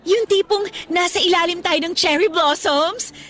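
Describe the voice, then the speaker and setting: A woman's voice: very high voice, normal speed, a bit bright, faint music behind. An actress playing a pushy, giddy young social-climber girlfriend with a Filipino accent, wheedling and girlish in a radio drama. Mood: coaxing, exasperated. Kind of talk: Tagalog radio drama acting.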